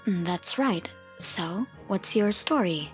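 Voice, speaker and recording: A woman playing a firm, principled mother in a manga dub, speaking in a stern, measured, matter-of-fact way. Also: mid-range voice, expressive. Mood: stern, reproachful. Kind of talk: manga dub dialogue and first-person narration. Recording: music behind the voice.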